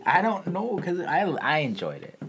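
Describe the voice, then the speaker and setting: A man's voice: sits high, wildly swooping pitch, moderate pace, noisy background. A young man co-hosting a casual film chat, blunt and slangy, swearing freely. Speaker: podcast co-host. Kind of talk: casual banter about films.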